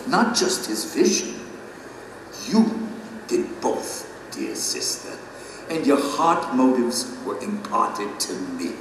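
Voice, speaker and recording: An actor playing a gentle, reverent guide in a heavenly kingdom, speaking formally in an audio drama. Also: male voice, fairly high pitch. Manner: solemn, measured, formal. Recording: echoey great hall, distant, faint noise.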